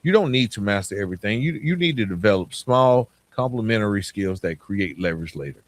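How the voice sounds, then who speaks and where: A man's voice: lowish voice, lively intonation, a little fast. Man giving an emphatic motivational podcast talk. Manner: conversational, informal, with "right?" check-ins. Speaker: podcast host. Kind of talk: motivational self-improvement monologue.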